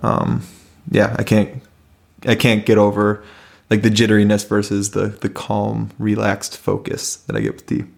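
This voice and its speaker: Man, young adult, casual rambling chat, gravelly, flat delivery. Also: lowish voice, normal speed. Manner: relaxed, rambling with many fillers. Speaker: conversation partner.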